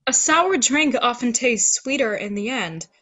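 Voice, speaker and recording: A woman reads a serene, devout female saint in a stage play. The voice is high yet husky, and the manner is formal and preachy. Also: expressive, measured pace, sharp tone, full character voice. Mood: pious. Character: pious, serene female saint trusting in God. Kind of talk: virtual table read of a stage play.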